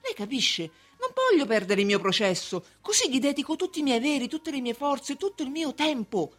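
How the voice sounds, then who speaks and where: A woman's voice: sits high, very animated, fairly quick, a bit bright. A woman playing an anxious, submissive merchant who confides fretfully and insistently in a radio drama. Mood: fretful, obsessive. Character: anxious, submissive merchant client obsessed with his trial. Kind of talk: stage acting in a radio drama.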